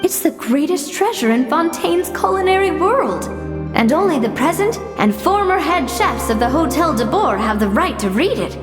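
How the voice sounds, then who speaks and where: Game friend, young woman: high, animated, explanatory; echoey hall, loud music. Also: normal speed. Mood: eager, impressed. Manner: expressive, explanatory. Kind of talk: video game dialogue.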